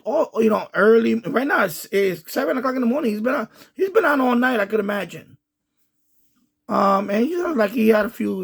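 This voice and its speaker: A man's voice: sits high, vocal fry, breathy edge. A man hosting a ham radio channel, talking casually and rambling with many restarts and repeats. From United States. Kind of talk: casual commentary to camera.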